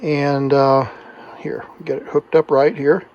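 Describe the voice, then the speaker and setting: Male hobbyist host, slightly high voice, plainspoken, walks viewers through technical steps casually. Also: steady tone, takes it slowly, a little mellow, muffled recording, a little hiss or hum. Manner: plainspoken, talks through each step. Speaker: host, electronics repair hobbyist. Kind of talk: hands-on demonstration and explanation, casual.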